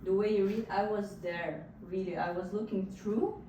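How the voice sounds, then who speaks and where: A woman's voice: medium pitch, normal speed, crowd noise. A woman in an audience giving casual, conversational feedback to a speaker. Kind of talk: casual spoken reaction. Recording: very echoey, distant mic, noisy room.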